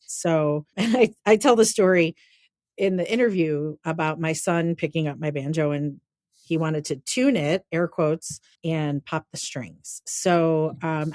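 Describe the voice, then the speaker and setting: Chatty low-voiced woman co-host. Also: no echo, clean studio sound. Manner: lively reactions, chatty, rambling. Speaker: podcast co-host. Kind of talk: casual chat.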